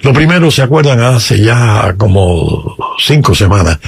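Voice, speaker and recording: Guy priest talking through the gospel, husky voice, medium pitch, asks listeners stuff. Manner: explanatory, puts questions to listeners. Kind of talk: conversational religious talk with scripture reading.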